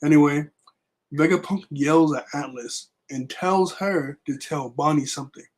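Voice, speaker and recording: A young man with a raspy voice left over from being sick, casually recapping a manga chapter with lots of fillers. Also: fairly high pitch, a little slow. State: raspy voice recovering from illness. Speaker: manga review vlogger. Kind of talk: casual chat.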